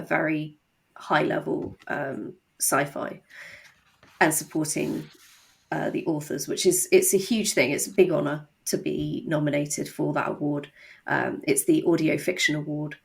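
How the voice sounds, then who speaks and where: Woman with creaky, low-set voice. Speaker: audio drama co-creator, podcast guest. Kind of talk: casual podcast interview chat.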